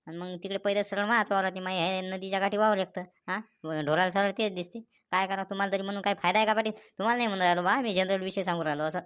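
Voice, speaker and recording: Exasperated cartoon farmer, played by a woman: lowish pitch, very fast, repetitive grumbling, rural Vidarbha Marathi accent. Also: a bit bright, clear, resonant tone, natural voice. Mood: defensive, exasperated. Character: exasperated male village farmer, grumbling about stray cattle. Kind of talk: Marathi cartoon comedy dialogue.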